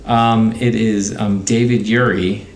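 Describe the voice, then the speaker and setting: A woman's voice: extremely low pitch, fairly even intonation, slow. A woman co-hosting a podcast in casual conversation. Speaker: podcast co-host. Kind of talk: casual chat. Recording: roomy echo, faint background noise.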